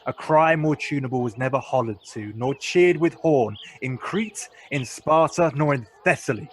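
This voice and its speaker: Noble duke played by a man, mid-pitched, authoritative and grand, radio Shakespeare. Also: moderate pace, close to an everyday voice. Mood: proud. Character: noble duke, commanding, genial, proud. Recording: faint noise with birdsong outdoor ambience.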